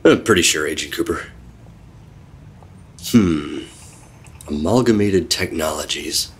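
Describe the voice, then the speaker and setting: An actor playing a secretive, world-weary 1950s government agent, terse and deadpan, narrating an old-time radio drama. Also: male voice, extremely low pitch, slow pace, exaggerated voice. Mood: guarded, serious. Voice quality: husky. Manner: terse, deadpan, hard-boiled.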